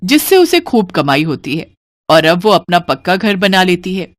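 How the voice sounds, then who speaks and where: A woman's voice: on the low side, sing-song, normal speed, dry recording. A woman narrating a scripted cartoon story as the storyteller.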